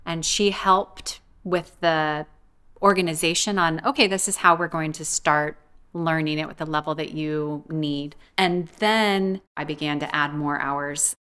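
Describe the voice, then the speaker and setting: A woman's voice: deep voice, steady tone, a little slow, a bit bright, clean tone. A woman being interviewed, talking reflectively and casually about her own experience. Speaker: interviewee, language learner.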